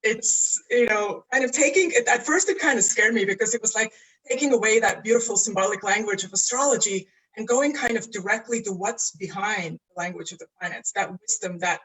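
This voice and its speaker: A woman's voice: mid-range voice, fairly quick, a bit bright, husky, poor audio. A woman from Estonia, an astrologer and coach, talking casually in an interview over a video call, earnest and searching for words.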